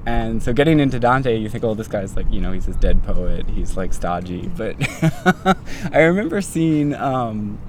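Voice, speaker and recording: A man's voice: fairly low pitch, animated, normal speed, slight background noise. A young man in his twenties, a doctoral student, telling his story in a casual, rambling way full of fillers like "like" and "um". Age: 20s.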